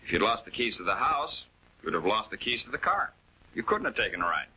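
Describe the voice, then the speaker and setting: Blunt detective sidekick, lively swinging pitch, slightly husky, vintage crime drama with dull soundtrack. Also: male voice, a little fast. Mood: accusing. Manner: blunt, colloquial. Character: plain-spoken, easily puzzled male detective sidekick. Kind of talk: 1940s television crime drama acting. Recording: dull 1940s film soundtrack.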